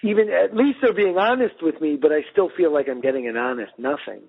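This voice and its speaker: A man co-hosting a casual podcast, talking over the phone in a rambling, animated way full of "like" and restarts. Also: on the high side, brisk, creaky, husky. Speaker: podcast co-host. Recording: tinny phone line.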